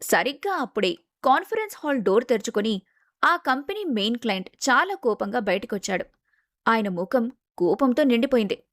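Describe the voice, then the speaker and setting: Casting: female story narrator, slightly high voice, dramatic scripted delivery, dry close sound. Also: lively intonation, a little fast, fairly bright tone, dry recording.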